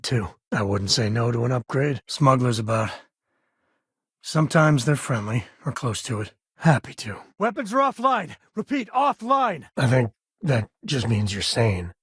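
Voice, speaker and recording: An easygoing space ranger dad for a game, kinda husky, wry folksy drawl, super dry sound. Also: male voice, medium pitch, a bit bright, no room sound, full character voice. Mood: relaxed, then urgent, then warm, musing. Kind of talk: video game voice acting.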